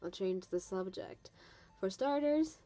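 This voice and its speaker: A woman voicing an injured teenage turtle hero in a comic dub, a guilt-ridden, teasing big brother with a soft, hesitant, playful manner. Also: medium pitch, animated, slow pace, soft background music. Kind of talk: animated comic dub acting.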